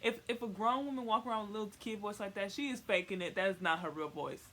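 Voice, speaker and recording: A woman's voice: mid-range voice, fast talker. A young woman giving a casual comic explainer in informal slang, rambling and repeating words for emphasis. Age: young adult. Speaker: vlogger. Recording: faint noise, home recording.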